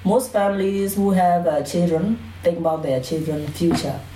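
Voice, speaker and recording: A low, mellow female voice with a Burmese accent, a native Karen speaker. She tells her personal story in an interview, plainly and a little hesitantly, often adding "you know". The recording is roomy and echoey, picked up from a distance. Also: steady tone, moderate pace. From Burma, Karen community. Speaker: interview guest. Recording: roomy echo, distant mic, faint noise.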